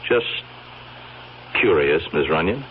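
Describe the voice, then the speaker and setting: An actor with an American accent playing a folksy, slyly probing police sergeant in a radio drama, asking questions in a hesitant, seemingly casual way. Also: male voice, a bass voice, animated, slow, full character voice. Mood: casually probing. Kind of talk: old-time radio drama acting. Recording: dull, noisy old radio recording.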